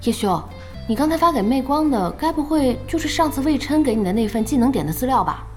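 An actress playing a spirited, outspoken young female boss in a radio drama, with a brisk and expressive manner. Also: high voice, faint music behind. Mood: curious. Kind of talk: Chinese radio drama acting.

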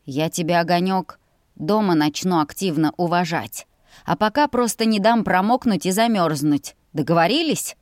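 A woman's voice: fairly low pitch, animated, moderate pace, no room sound, studio quality, lightly acted. A woman voicing a stubborn male dragon general, curt, smug and possessive, in a fantasy audiobook. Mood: amused, possessive.

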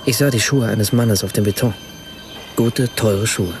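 An actor playing a reflective, watchful first-person narrator in a literary radio play. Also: male voice, on the low side, a little slow, background noise. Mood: observant.

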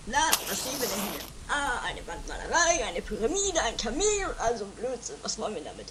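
A woman playing a bumbling, eager, excitable sidekick in an amateur comedy radio play, silly and exclamatory. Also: a little high, fairly quick. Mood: curious. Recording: noisy lo-fi 1980s home recording.

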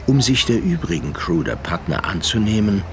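An actor playing a seafaring narrator in a radio drama, telling the tale in a measured, literary manner. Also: male voice, lowish voice, very animated, noisy background. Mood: measured, recollecting. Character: male seafaring narrator, measured, observant storyteller.

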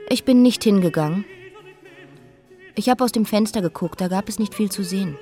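A woman in a radio drama playing a defiant, wilful abdicated queen, speaking in deliberate, declarative phrases. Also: medium pitch, dark tone, faint music behind, close to an everyday voice. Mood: detached, reflective.

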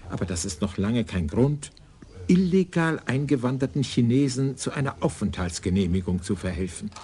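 Actor playing a troubled provincial official in a radio play, confiding, lively pitch swings. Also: male voice, moderate pace, close to an everyday voice. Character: worried provincial police official.

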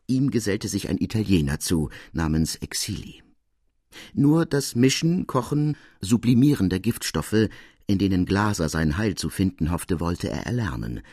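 A man narrating a literary audiobook in a measured, expressive, dramatic manner with deliberate pauses. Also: on the low side, slightly rough, dry recording, studio quality.

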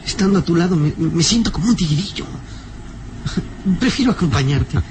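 A man's voice: fairly high pitch, lively intonation, moderate pace. An actor playing a timid, devoted young boy sidekick in a radio serial, earnest and deferential. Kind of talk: Latin American radio serial acting. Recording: old radio serial with background noise.

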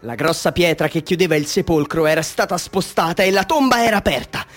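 An actor playing a bitter, half-mad village man telling his tale in a theatrical radio drama monologue, swinging between hushed confiding and fervent declaiming. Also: very high voice. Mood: excited, fervent.

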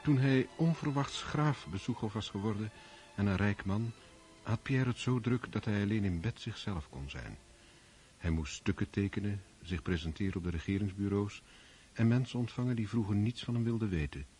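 A man narrating a literary radio drama in a measured storytelling manner. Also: lowish voice, a little mellow, natural voice. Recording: music under the voice. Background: music.

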